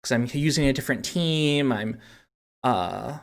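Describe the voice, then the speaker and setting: Casual gaming podcast host, medium pitch, conversational delivery. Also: expressive, a little slow, gravelly. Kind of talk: casual video game podcast chat.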